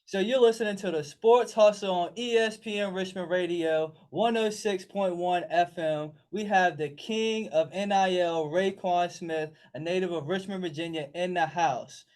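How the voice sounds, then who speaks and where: A man with a notably high voice hosts a sports talk radio show. He questions his guest in an upbeat tone and keeps praising him. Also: normal speed, hardly any pauses, a little mellow. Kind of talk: radio interview hosting.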